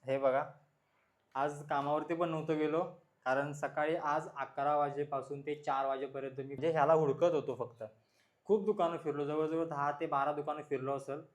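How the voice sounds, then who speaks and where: A man talks informally and repetitively to his viewers, explaining as he shows things, like a vlog host. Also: a little high. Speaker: vlogger, motorcycle channel host. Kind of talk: casual product showcase chat to viewers.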